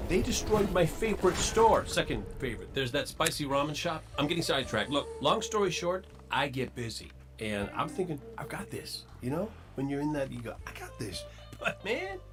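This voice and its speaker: A man's voice: a little high, big pitch swings, moderate pace. An actor playing a chatty, easily sidetracked male fighter who offhandedly recounts a battle in a game trailer. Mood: rambling. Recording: game trailer audio with music. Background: music.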